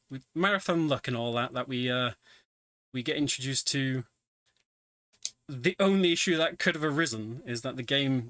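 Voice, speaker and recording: Bright-voiced man commentating a game run. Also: medium pitch, no room sound. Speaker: speedrunner commentating his run. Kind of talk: casual live game commentary. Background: video game music.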